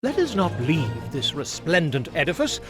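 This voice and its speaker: A man's voice: fairly high pitch, wildly swooping pitch, moderate pace, music in the background. A man playing a pompous, long-winded narrator in a cartoon game, delivering florid, theatrical narration. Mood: grandiose. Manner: pompous, verbose. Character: pompous, florid male storyteller and tour guide.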